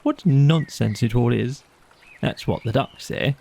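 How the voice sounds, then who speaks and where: Storybook water rat, male, breezy and good-natured, mellow with a creaky edge man acting. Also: medium pitch, measured pace. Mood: cheerful, mocking. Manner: breezy, chatty, enthusiastic. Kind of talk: storybook character voice in an audio play.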